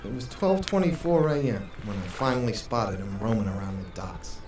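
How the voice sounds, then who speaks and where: A man's voice: fairly low pitch, animated, moderate pace, darkish tone, a little hiss or hum, natural voice. A man playing a hard-boiled, world-weary 1940s private investigator in a noir radio drama, with a wry, brooding manner. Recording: roomy, distant microphone, music underneath.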